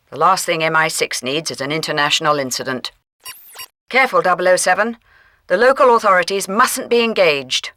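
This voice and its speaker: British intelligence chief, stern, formal, clipped delivery, bright forceful tone, middle pitch, video game mission briefing. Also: no echo, clean studio sound. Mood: urgent. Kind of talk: scripted video game voice acting.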